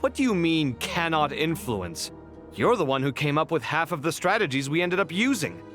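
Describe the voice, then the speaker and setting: A man's voice: fairly high pitch, big pitch swings, normal speed, music in the background. An actor playing a proud, argumentative young male scholar in a video game, speaking with theatrical emphasis. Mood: probing, wry.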